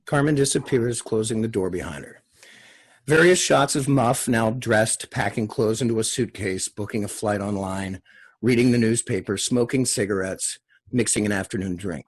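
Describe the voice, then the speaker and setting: A man with a husky voice reading out screenplay stage directions as scripted narration. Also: on the low side, normal speed.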